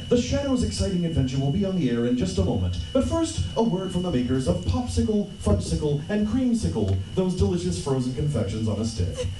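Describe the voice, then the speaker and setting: Hammy male radio announcer, lively pitch. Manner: hammy, playful. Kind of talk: live old-time radio announcing with comic asides. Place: live panel in a room. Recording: echoey noisy convention room, distant mic.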